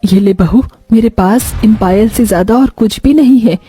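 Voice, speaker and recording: Woman as a husky, motherly mother-in-law. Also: medium pitch, normal speed, mellow, soft background music. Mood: affectionate. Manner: familiar, motherly, fussing. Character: emotional, doting middle-aged mother-in-law.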